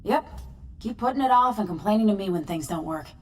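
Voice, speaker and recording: An actress playing a grumbling, foul-mouthed radio technician in a video game, blunt and complaining. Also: female voice, medium pitch, expressive, brisk, distant mic, a little hiss or hum, close to an everyday voice. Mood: irritated. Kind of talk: video game cutscene acting.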